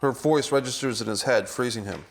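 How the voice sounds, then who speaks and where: A man's voice: mid-range voice, fairly quick, gravelly. A man working as a director, reading stage directions aloud and coaching his actors. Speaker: director leading a table read.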